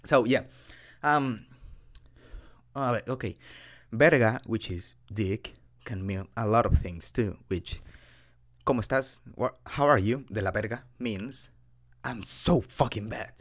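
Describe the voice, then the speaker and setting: A man's voice: lowish voice, slow, pauses often. A young man from Mexico with a Mexican accent, a native Spanish speaker, improvising a dorky, hesitant roleplay as a boyfriend giving a casual language lesson. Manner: giggly, dorky, hesitant, self-deprecating. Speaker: audio roleplay creator playing a boyfriend teaching Spanish.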